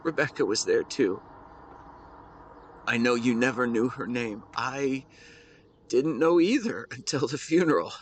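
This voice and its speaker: A man playing a grieving, devoted husband who speaks to his late wife in a tender, emotional, halting way, as an intimate audio roleplay monologue. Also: mid-range voice, expressive, slight background noise, natural voice. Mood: tender, bittersweet.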